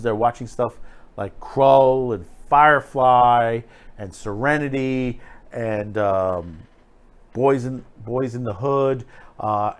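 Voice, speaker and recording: Man giving rambling, emphatic commentary. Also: medium pitch. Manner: rambling, halting, emphatic, repeating phrases. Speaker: host of an online film commentary show. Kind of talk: unscripted opinionated commentary.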